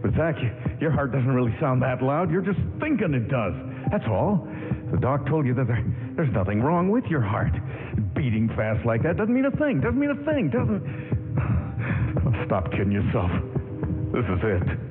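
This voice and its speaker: A man's voice: medium pitch, very animated, normal speed, close to an everyday voice. Man acting nervy earnest soldier in old-time radio drama, voice swings around a lot, tinny am sound. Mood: panicked, strained. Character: anxious soldier prone to panic spells, gentle and earnest. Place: stage of a live radio theatre. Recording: tinny AM radio broadcast with music.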